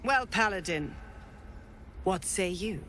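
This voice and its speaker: An actress playing a ruthless, imperious female druid leader in a fantasy game, with measured, cutting delivery. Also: mid-range voice, lively intonation, a bit bright, a little hiss or hum. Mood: cold, formal. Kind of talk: cinematic fantasy game acting. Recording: music behind the voice.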